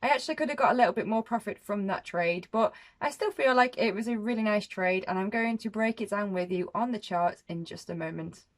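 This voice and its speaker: A woman's voice: mid-range voice, brisk, hardly any pauses. A woman giving a casual, reflective trading recap to her viewers, chatting with small laughs. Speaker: forex trading vlogger. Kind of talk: casual trade recap to camera.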